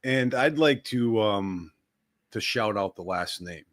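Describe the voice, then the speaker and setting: A middle-aged man co-hosts a casual local interview. He is chatty and curious, readily chiming in and asking question after question in a medium-pitched voice. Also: lively intonation, slow, a bit bright. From Westerly, United States. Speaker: show co-host.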